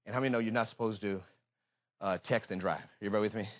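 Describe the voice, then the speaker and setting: An American man preaching a fervent sermon in an emphatic, repetitive call-and-response manner, moving between confiding talk and impassioned shouting. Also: lowish voice, steady tone, rapid-fire, dull audio.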